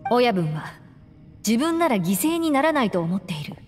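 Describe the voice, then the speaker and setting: A woman's voice: on the high side, big pitch swings, moderate pace. A woman playing a level-headed young gang deputy in an anime-style game, explaining things in a matter-of-fact, blunt way. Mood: reflective. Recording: reverberant, with music behind.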